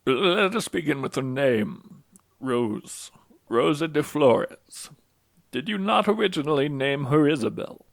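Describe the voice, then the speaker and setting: A man playing a courteous, solemn old priest in an audio drama, speaking in a formal, measured way. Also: a little high, lively intonation, gravelly, no room sound, clean studio sound. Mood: gentle, formal.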